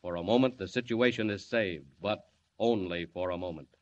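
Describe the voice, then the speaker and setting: A man's voice: low-pitched, moderate pace. A man narrating a radio serial as announcer, in dramatic scripted 1940s style. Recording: muffled lo-fi old radio recording.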